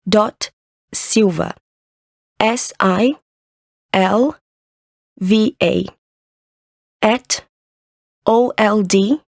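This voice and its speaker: Polite office lady leaving a voicemail, slow n careful, every word clear, dry sound. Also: medium pitch, a little mellow, no echo. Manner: careful, clearly enunciated, spelling things out slowly. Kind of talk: scripted listening exercise dialogue.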